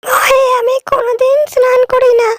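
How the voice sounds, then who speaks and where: A woman voicing a vain, squeamish ogress wife in a cartoon story, with exaggerated, drawn-out delivery. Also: very high-pitched, flat delivery, no echo. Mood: fearful. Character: vain, squeamish ogress wife who loves her own stink.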